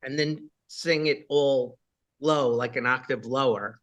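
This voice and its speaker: A rock singer with a high voice, chatting casually in an interview and rambling with many fillers and asides. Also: male voice, fairly even intonation, a little slow, fairly bright tone, muffled recording.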